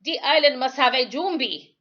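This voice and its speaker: A woman voicing a superstitious old West Indian sailor man in a storybook, grumbling darkly in slow, emphatic dialect. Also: high voice, expressive, sharp tone, dull audio, pitched above the performer's own voice. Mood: ominous, grumbling.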